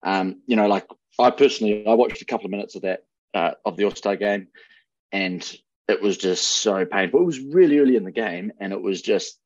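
A man with a New Zealand accent talks sport in a casual, conversational way. His voice sits on the low side, and the recording is dry and a little dull. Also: brisk, dry recording. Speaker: sports podcast panelist.

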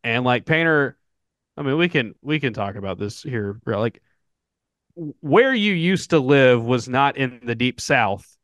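A man with a Southern American accent talks casually as a podcast co-host. He rambles and restarts his sentences. His pitch sits in the middle, and the sound is dry and close. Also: lively intonation, unhurried, a bit bright, no echo. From Southern United States. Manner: rambling, frequent fillers and restarts. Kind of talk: casual sports podcast chat.

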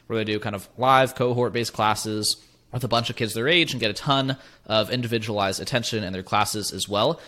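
A man in his twenties, a podcast co-host, giving a quick, enthusiastic introduction in long run-on sentences. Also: on the low side, a bit bright. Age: 20s.

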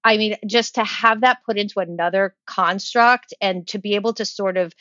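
A woman interviewer speaks in a conversational style at an average pitch. Her voice is recorded close and dry, with a slightly dull, lo-fi tone. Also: fairly even intonation, moderate pace, sharp tone, dry recording. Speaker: podcast interviewer.

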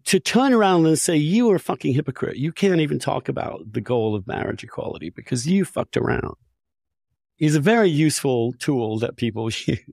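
A man's voice: mid-range voice, sing-song, fairly quick, vocal fry, darkish tone, no room sound, studio quality. A man talking candidly and with strong opinions, articulate and emphatic, often restarting his phrases. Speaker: podcast host.

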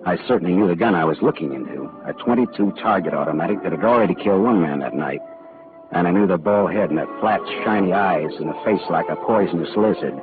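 An actor playing a wisecracking, world-weary private detective in 1940s radio style, clipped and sardonic. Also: male voice, deep voice, fast talker, natural voice. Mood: tense narration. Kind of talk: hard-boiled first-person narration and dialogue, 1940s radio acting. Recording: muffled old radio broadcast, faint music.

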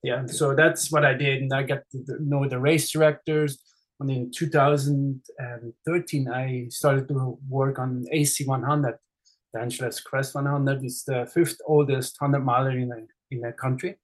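Middle-aged swiss guy talking about himself on a podcast, ordinary pitch, lots of ums and restarts. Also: fairly even intonation, normal speed, rough recording. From Switzerland. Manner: friendly, with frequent fillers and restarts. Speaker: race director guest. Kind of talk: casual interview chat.

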